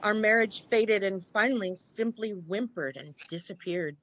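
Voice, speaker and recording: A woman's voice: mid-range voice, moderate pace, fairly bright tone, no echo, close to an everyday voice, rough recording. A woman playing an anxious, stubborn, distrustful mother in a scripted radio play. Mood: determined, sad. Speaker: actor in a college radio play. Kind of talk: scripted radio drama acting.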